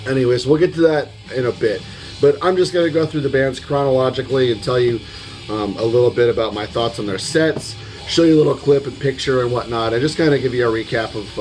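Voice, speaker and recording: A man with a slightly hoarse voice giving a casual vlog recap, rambling and hesitant, with the odd casual swear word. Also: medium pitch, a little mellow. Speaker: music vlogger. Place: at home. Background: metal music playing in the background.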